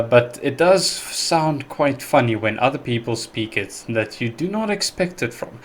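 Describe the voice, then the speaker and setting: Casual South African male host, Afrikaans first language, mid-pitched, relaxed and chatty, lots of ums. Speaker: reaction video host. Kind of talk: casual reaction commentary.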